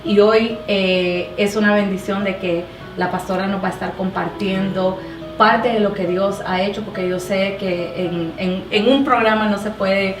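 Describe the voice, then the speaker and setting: A woman's voice: mid-range voice, fairly even intonation, a little slow. Woman cohost on a church talk show, kinda far from the mic, echoey, music behind. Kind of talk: hosting a faith talk show.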